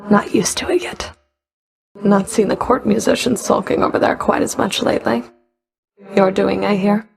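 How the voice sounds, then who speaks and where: A woman's voice: medium pitch, gravelly, a little mellow. A woman playing a stern, sardonic underworld Fury in a video game, speaking in a cool, dry, clipped way. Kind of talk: video game character voice acting.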